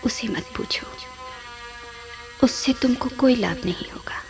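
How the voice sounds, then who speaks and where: A yearning female spirit in a radio drama. The voice is on the high side and very unhurried, slipping into whispers as it pleads. Soft music plays beneath it. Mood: mournful, mysterious. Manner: slow, breathy, half-whispered pleading with pauses. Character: sorrowful female ghost yearning for love, seductive, pleading. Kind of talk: radio play acting. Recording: music under the voice.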